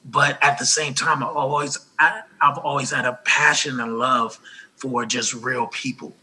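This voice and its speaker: A man with a noticeably raspy, husky voice that still cuts through bright and forward. He talks earnestly and gratefully as an interview guest, restarting phrases as he goes. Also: mid-range voice, fairly even intonation, measured pace, rough recording. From Los Angeles. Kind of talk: casual interview talk.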